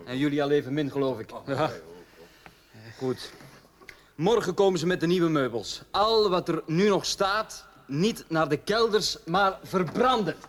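Man playing an earnest young lord, higher-pitched. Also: unhurried, natural voice. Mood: earnest, resolute. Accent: Flemish. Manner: earnest, commanding. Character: earnest young dwarf lord rallying his servants. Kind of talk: radio drama acting.

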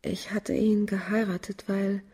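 Breathy, world-weary murderess. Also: female voice, medium pitch, flat delivery, warm tone. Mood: weary, bitter. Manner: slow, halting, often breathing into whispers. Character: world-weary, bitter murderess confessing in court. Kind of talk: German radio crime drama acting.

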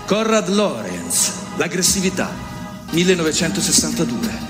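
A man giving an expressive, pausing dramatic reading of an essay on a radio show. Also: on the high side. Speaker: reader. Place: radio studio. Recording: radio broadcast with music behind.

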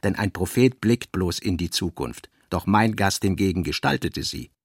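Radio drama narrator: man, creaky mid-pitched voice, articulate and measured storytelling. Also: lively intonation, no room sound, clean studio sound. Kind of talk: scripted literary narration for radio play.

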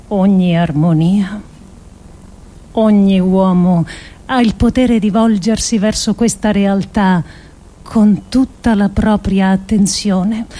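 An actress performing a theatrical monologue as a grave, idealistic political thinker, with deliberate, emphatic phrasing and dramatic pauses. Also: female voice, medium pitch, dark tone. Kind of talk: theatrical scripted monologue adapted from philosophical essays.

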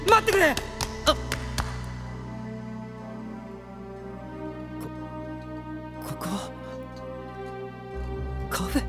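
A man's voice: very high-pitched, exaggerated voice. A man playing an earnest, bewildered young prince in a radio drama, with a dramatic, exclaiming delivery. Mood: startled, urgent.

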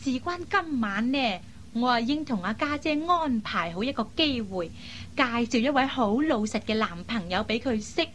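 A woman acting a lively, headstrong young matchmaking sister in a radio drama, with a chatty, coaxing manner. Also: fairly high pitch, moderate pace, slight background noise. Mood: cheerful. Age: young adult. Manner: coaxing, chatty, expressive with sighs and particles.

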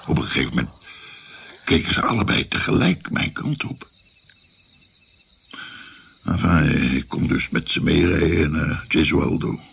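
Old grandpa telling his story, rambly, gravelly, really swoopy intonation, radio play. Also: rumbling low, lively intonation, a bit bright, slight background noise, exaggerated voice. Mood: reminiscing. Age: older. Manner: rambling, halting, hems as he recalls.

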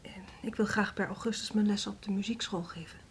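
A woman playing a timid, downcast music teacher in a radio drama, speaking softly and haltingly, almost whispering. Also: fairly high pitch. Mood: meek, troubled. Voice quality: soft, breathy, near whisper. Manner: hesitant, halting. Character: timid, downcast female recorder teacher, quietly insistent.

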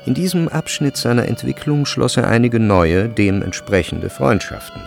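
A man narrating a literary radio drama in a measured, precise storytelling manner. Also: medium pitch, lively intonation, creaky. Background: faint music.

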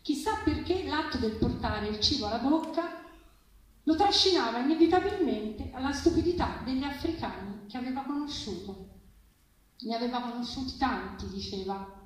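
A woman giving an expressive live reading of a literary story to a festival audience. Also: high-pitched, normal speed. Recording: very echoey, distant, faint noise.

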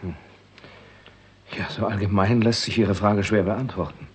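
An actor playing a reserved, courteous visitor in a radio play, measured and diplomatic, with a very husky voice. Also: male voice, on the low side, fairly even intonation, a little hiss or hum, close to an everyday voice. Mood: cautious, polite. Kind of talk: 1960s German radio play acting.

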